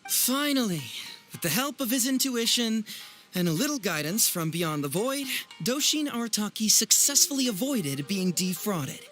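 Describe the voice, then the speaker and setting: An actor playing a clever, teasing young male detective and smooth presenter in a video game, speaking in a wry, theatrical way. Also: high voice, big pitch swings, normal speed, a bit bright, faint music behind. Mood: dramatic presenting. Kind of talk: animated video game voice acting.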